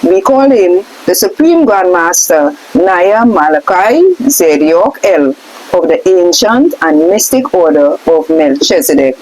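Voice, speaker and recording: A woman's voice: lowish voice, sing-song, measured pace, a little mellow, low-quality recording. A woman reading aloud from a book in a question-and-answer format, sometimes restarting her phrases. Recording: faint hiss in background.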